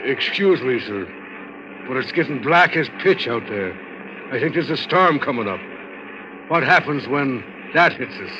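A man's voice: medium pitch, animated, measured pace, close to an everyday voice. An actor playing a nervous, superstitious Irishman in a 1940s radio drama. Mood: nervous, urgent. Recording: muffled, noisy old 1940s radio recording.

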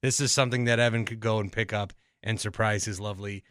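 Man, American accent, podcast host, bright crisp voice, dry studio-tight sound, casual, rambling, conversational. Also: lowish voice, fairly even intonation, fast, dry recording. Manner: conversational, rambling, thinking aloud. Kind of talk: casual solo podcast talk with ad reads.

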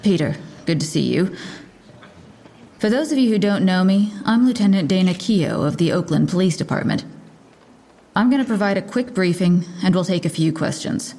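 Female police lieutenant, fairly low voice, brisk, guarded, sardonic, press briefing, audio drama. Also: lively intonation, mellow. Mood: businesslike.

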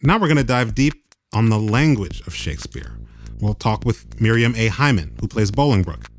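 A man hosting a podcast, delivering brisk scripted links between segments. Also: fairly low pitch, lively intonation, slight background noise. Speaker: podcast host.

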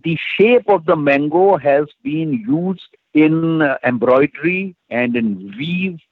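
A man speaks fervently but in measured phrases, his voice at a middling pitch. He comes through a tinny, phone-like line. The sound is dry, with faint radio-like coloring. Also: expressive, no room sound. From India. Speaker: filmmaker and writer interviewed.

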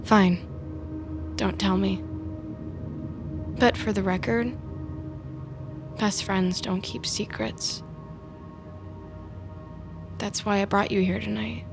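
A woman's voice: mid-range voice, fairly even intonation, slow, creaky, long pauses, music in the background. A woman playing an intense, wounded, obsessive young woman in an audio drama, talking in a wordy, sarcastic, insistent way. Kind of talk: audio fiction drama acting.

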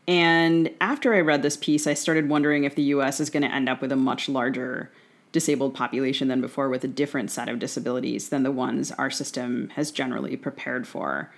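Gravelly-edged man hosting an interview. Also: mid-range voice, fairly even intonation, a little fast, a bit bright. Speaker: podcast host, interviewer. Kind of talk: conversational literary interview.

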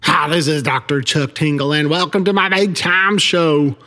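A man hosting a podcast, introducing the show in a halting, folksy, oddly worded way with frequent stammers. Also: on the high side, steady tone, sharp tone, long reverb tail, soft background music. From Billings, Montana. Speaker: podcast host. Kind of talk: quirky scripted host monologue.

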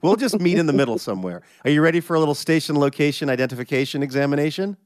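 A man hosting a radio show in a casual, chatty way, his voice a bit hoarse from a cold. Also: a little high, animated, racing, dry recording. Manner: upbeat, chatty, jokey.

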